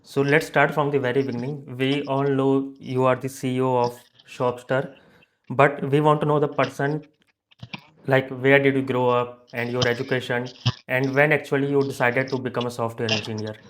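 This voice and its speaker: A man's voice: medium pitch, level tone, moderate pace, fairly bright tone. A man hosting an interview podcast, putting prepared questions to his guest. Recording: noisy background with clicks.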